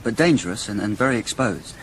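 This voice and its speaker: A man's voice: lowish voice, lively intonation, quick pace, slight background noise, lightly acted, poor audio. An actor playing a respectful, hesitant scout reporting to his lord in a radio drama. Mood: dutiful.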